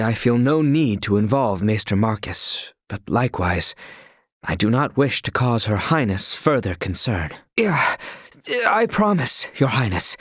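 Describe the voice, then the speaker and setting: A proud and earnest young knight in a fantasy video game. He speaks in stiffly formal, courtly language at a middling pitch with animated swings in intonation. The sound is dry, with no room around it. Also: no room sound. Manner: formal, archaic courtly phrasing.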